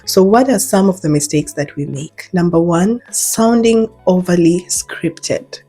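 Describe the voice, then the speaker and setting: A woman's voice: lowish voice, measured pace, husky, faint music behind. A Kenyan woman, an English teacher, giving job advice in a vlog in a conversational, emphatic way. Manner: emphatic, conversational, animated with repeated points. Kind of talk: advice vlog, speaking to camera.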